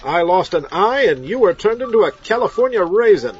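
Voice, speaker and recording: An actor playing a vain, flippant, oblivious mad scientist, cheerfully callous, in a comedy audio drama. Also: male voice, high-pitched, big pitch swings, a little fast, dull audio, faint music behind. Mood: smug. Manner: cheerfully callous, self-satisfied.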